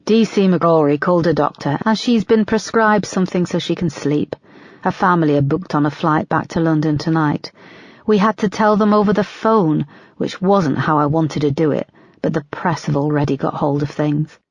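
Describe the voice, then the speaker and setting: A woman's voice: sits low, moderate pace, no echo, highs cut off. A woman reading an audiobook, voicing a tired, guarded female detective chief inspector who speaks in a clipped, restrained way. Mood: weary.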